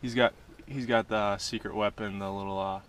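A young man in his twenties vlogging an ice-fishing trip with loud, joking banter, filmed on a wearable camera on a frozen lake. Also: on the low side, steady tone, moderate pace. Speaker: vlogger and angler. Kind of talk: casual outdoor banter. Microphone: wearable action camera. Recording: action camera with loud wind noise.

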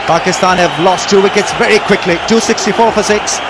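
A man commentating live on a cricket match for television, bursting into excited calls at wickets. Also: high-pitched, fairly quick. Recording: broadcast with loud crowd noise.

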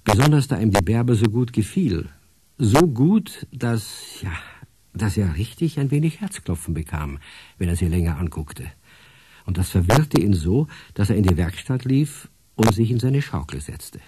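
A man narrating a children's radio play as a gentle storyteller, with a mellow, husky voice. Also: on the low side, very animated, moderate pace, dry recording.

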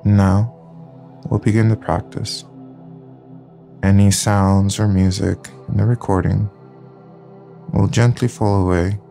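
A low, gravelly male voice guides a slow meditation, speaking softly and calmly with long pauses between phrases. Faint music plays underneath. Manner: soft, calm, unhurried instructions with long pauses. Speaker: yoga nidra guide.

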